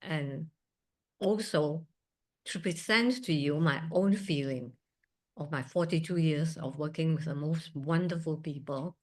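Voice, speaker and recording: A woman's voice: deep voice, unhurried. A woman in her sixties from Singapore, a surgeon giving an earnest talk at a museum event with chatty asides. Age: 60s. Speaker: guest speaker, surgeon. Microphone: video call.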